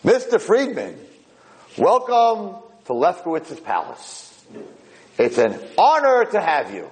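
A man's voice: high voice, wildly swooping pitch, takes it slowly, darkish tone, pitched above the performer's own voice, full character voice, poor audio. A man voicing a kindly, happy-go-lucky elderly nursing home resident in a spoken story, earnest and prone to stammering. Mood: welcoming. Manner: earnest, stammering when flustered.

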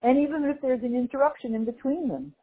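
Female Torah teacher, animated lecture style, slightly husky, tinny phone-line recording. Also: sits high, quick pace. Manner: lively, mixes Hebrew and Yiddish terms, searches for words. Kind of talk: Torah class explaining a text.

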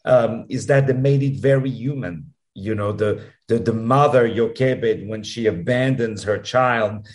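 A man with a French accent, a guest answering interview questions in a halting, effusive way with many restarts. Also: on the low side. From France. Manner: halting, repeats words, warm and effusive. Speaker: musical producer guest.

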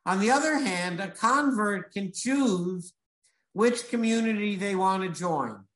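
Man, high voice, rabbi lecturing, measured and emphatic, echoey room. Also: animated, fairly bright tone. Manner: measured, explanatory, with emphatic repetition.

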